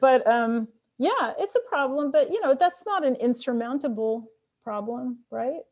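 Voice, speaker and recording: A woman's voice: high-pitched, lively intonation, measured pace, a little mellow. Thoughtful woman author answering questions. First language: Armenian. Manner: thoughtful, encouraging, laughs lightly. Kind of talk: online Q&A conversation.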